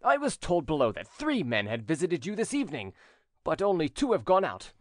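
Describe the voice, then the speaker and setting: A man voicing an enraged tall young duke with a loud, commanding delivery in an audiobook. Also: on the high side, huge pitch swings, fairly quick, dry recording, voice pushed up. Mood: furious. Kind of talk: audiobook reading.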